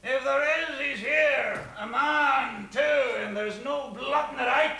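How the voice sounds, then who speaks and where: A drunken Irish lodger with a high, bright, gravelly voice that is husky at the edges. He brags loudly, laughs at his own words and swings into shouting. This is an old stage-style radio drama. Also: slow pace, full character voice. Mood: drunken bluster. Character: drunken boastful Irish lodger, middle-aged man.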